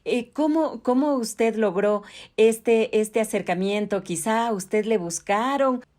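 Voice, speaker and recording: A woman's voice: fairly high pitch, slow pace, darkish tone. A woman with an Ecuadorian accent, asking questions conversationally as an interviewer.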